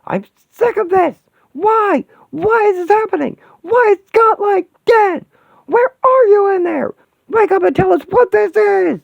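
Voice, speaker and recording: Brief: enraged superhuman woman, put on by a man, shrill falsetto, dry tinny recording. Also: big pitch swings, normal speed, hardly any pauses, no echo. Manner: shrieking, raging falsetto. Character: furious hulking superhuman woman. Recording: tinny phone-like audio, dry.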